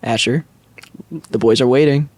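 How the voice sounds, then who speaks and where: A man playing an overdramatic actor deliberately reciting flirty pop lyrics as a mock dramatic reading. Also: mid-range voice, a little mellow, slight background noise, pitched below the performer's own voice, close to an everyday voice. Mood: mock serious. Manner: deliberate, theatrical.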